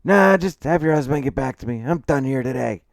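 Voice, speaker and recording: A man's voice: on the high side, a little slow, gravelly, darkish tone, no room sound, studio quality, pitched above the performer's own voice. A man voicing a cranky, stubborn old semi-retired plumber in an audiobook, scolding and indignant. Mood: dismissive. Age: older.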